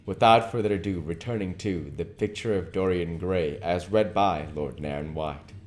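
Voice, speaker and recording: A man narrating a literary novel as an audiobook reader, with measured, deliberate phrasing. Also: deep voice, darkish tone. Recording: noticeable background noise.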